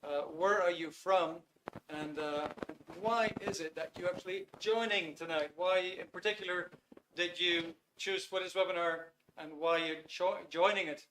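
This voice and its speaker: A man's voice: high voice, fairly even intonation, moderate pace, fairly bright tone, slight background noise, poor audio. A man in his fifties with a Dutch accent, hosting a live online webinar in an upbeat way and greeting viewers by name. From Netherlands. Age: 50s. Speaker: webinar host.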